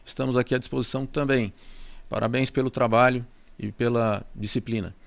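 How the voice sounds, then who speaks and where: A man's voice: mid-range voice, steady tone, brisk, gravelly. A man hosting a podcast, asking friendly, chatty questions in a casual interview.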